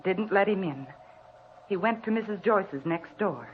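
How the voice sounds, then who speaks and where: A woman's voice: medium pitch, expressive, measured pace, lightly acted. A dull, noisy old radio recording carries an actress as an anxious American housewife. She is frail and forgetful, speaking meekly and hesitantly, in the manner of vintage radio drama. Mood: quietly narrating. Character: frail, nervous, forgetful housewife recovering from illness.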